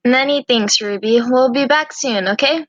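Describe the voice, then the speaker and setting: A woman's voice: high-pitched, normal speed, no room sound, highs cut off, close to an everyday voice. A woman playing a caring, insistent, formally spoken girl in a visual novel. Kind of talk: visual novel character acting.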